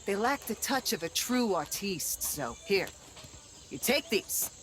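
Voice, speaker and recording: A man's voice: high voice, very animated, a bit bright, exaggerated voice. An actor playing a flamboyant male film director in a game cutscene, theatrical and gushing. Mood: flattering showman. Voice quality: theatrical, gushing, fast-shifting. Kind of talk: video game cutscene voice acting.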